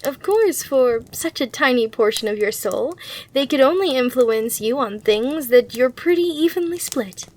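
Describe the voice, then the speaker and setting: A woman's voice: sits high, lively intonation, moderate pace, darkish tone. A woman voicing a sly, courteous female demon hotel receptionist in an ASMR roleplay, with reassuring customer-service patter. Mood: reassuring, coaxing.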